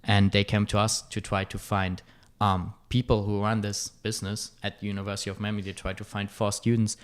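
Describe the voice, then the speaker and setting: A man's voice: on the low side, level tone, fairly quick, smooth. A young man from Germany, chatting on a podcast in a hesitant way, with many fillers. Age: young adult. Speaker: student club director, podcast guest. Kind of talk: casual podcast chat.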